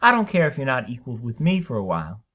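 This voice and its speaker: A man's voice: mid-range voice, huge pitch swings, quick pace, highs cut off. A man voicing a sweet, simple-minded middle-aged housewife in a dramatic story reading, chatty and homely. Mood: gently coaxing. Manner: homely, folksy, chatty phrasing.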